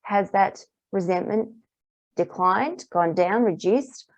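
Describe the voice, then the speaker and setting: A woman practitioner calmly guiding a tapping exercise in repeated phrases, her voice a little hoarse. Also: medium pitch, slow pace, no echo. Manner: calm, repetitive guiding phrases. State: slightly hoarse, clearing throat. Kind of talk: guided tapping lesson. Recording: dull, muffled dry recording.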